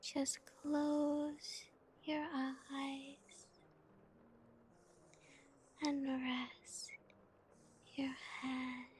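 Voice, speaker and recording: A woman whispering gently and softly as an ASMR streamer, giggling now and then and playing a cute spooky bat persona. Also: high-pitched, level tone, extremely slow, pauses often, a little hiss or hum. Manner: calls herself a bitty bat, spooky cutesy persona. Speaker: ASMR streamer reading chat.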